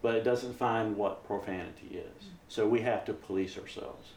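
A man's voice: lowish voice, steady tone, normal speed, darkish tone. A man speaking casually as an amateur radio operator being interviewed, explaining how things work. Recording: roomy echo, some background noise.

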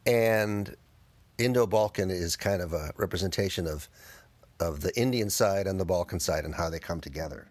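A man from the United States, a guitarist answering interview questions in a thoughtful, halting way. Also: deep voice, a little mellow, no room sound. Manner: thoughtful, halting, with fillers and restarts. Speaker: guest guitarist.

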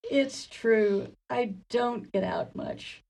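A woman plays a frumpy, blundering maid in a radio comedy. She is meek and overwrought, with a high voice that creaks. The words come out slowly and apologetically. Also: a little mellow, exaggerated voice, poor audio. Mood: meek, flustered. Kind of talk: comedy radio play acting.

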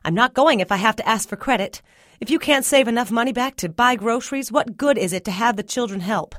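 A woman narrator plays a country mother in an audiobook story, her voice lifted high and edged with exasperation. She scolds and presses with questions, sharp and worried, in a very dry recording. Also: expressive, fairly quick, dry recording. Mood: annoyed, scolding. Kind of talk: audiobook character dialogue.

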